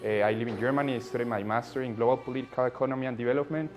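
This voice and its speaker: A young man from Colombia in his twenties speaks in a middle-pitched voice. He answers thoughtfully, often pausing and restarting his sentences. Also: fairly even intonation, soft background music. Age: 20s. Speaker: political scientist and activist. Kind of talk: interview.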